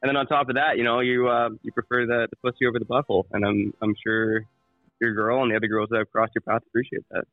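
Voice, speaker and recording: A man's voice: mid-range voice, flat delivery, fast talker, no echo, soft background music. A man co-hosting a casual sports talk show, blunt and conversational with some swearing, on a dull lo-fi mic. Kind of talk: casual sports opinion chat.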